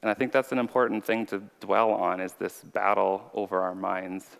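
A man's voice: on the low side, little pitch movement, moderate pace, vocal fry, a bit bright. A man giving an earnest, conversational sermon as an informal preacher with a slight stutter. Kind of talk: conversational sermon with personal testimony. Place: large reverberant room. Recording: reverberant hall.

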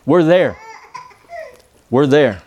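A man's voice: fairly high pitch, expressive, darkish tone, a little hiss or hum. Man preaching in a texan drawl, stops and restarts a lot, tags lines with amen?. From Texas. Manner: peppers phrases with "amen?" asking the congregation to answer; halting, with many "uh" pauses and restarts. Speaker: visiting preacher. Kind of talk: church sermon with prayer.

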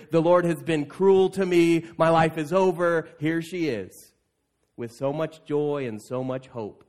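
A man's voice: high voice, darkish tone. A man preaching as a pastor to his congregation, retelling scripture in a conversational, storytelling way and acting out casual paraphrased quotes. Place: church, speaking to an assembled congregation.

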